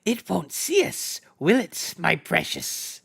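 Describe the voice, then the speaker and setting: A wretched, greedy cave creature hisses and whispers, sly and creeping, as a man reading aloud puts it on. The voice sits a little off the mic and slides up above the reader's own pitch. The room is dry. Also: huge pitch swings, slow, vocal fry, fairly bright tone, husky, dry recording, heavily acted. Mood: whispering. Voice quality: hissing, whispery.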